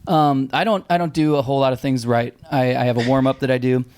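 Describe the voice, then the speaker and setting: A man's voice: mid-range voice, steady tone, fast talker, a little mellow, clean tone, dry recording. A male band vocalist in a casual podcast chat, laid-back and blunt, cursing freely.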